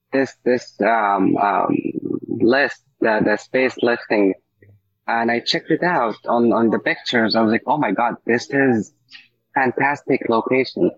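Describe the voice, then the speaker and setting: A man from Syria, a native Arabic speaker, telling his story casually as an interview guest, halting as he searches for words. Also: mid-range voice. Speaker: coffee shop owner, interview guest. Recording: dull, muffled lo-fi audio.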